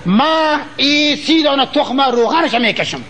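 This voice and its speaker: An actor with a Kabul Afghan accent playing a bossy, greedy trickster fortune teller in a stage comedy, emphatic and repetitive. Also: male voice, very high voice, lively intonation, slight background noise, exaggerated voice. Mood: boastful. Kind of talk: broad comedy stage play acting. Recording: old stage recording with loud audience.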